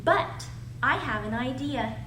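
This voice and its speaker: A woman voicing a small, plucky and clever storybook bat, speaking earnestly with drawn-out words. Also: sits high, animated, pushed and bright, heavily acted. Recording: roomy, distant mic, clear background noise.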